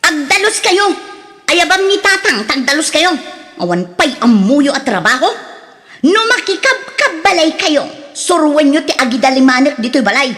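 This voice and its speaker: A woman's voice: sits high, sing-song, moderate pace, a bit bright. A woman playing a bossy, scolding housewife with a curt, nagging manner in an audio drama. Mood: angry nagging. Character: bossy, scolding young housewife with a gambling habit. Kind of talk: radio drama acting in Ilocano. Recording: long reverb on the voices.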